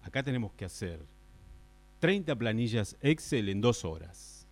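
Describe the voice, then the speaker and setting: Actor as forgetful male boss, fairly low voice, Argentine accent, hesitant, exasperated, repetitive, radio drama. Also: very animated. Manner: hesitant, halting, repeats himself. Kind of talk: improvised radio play acting.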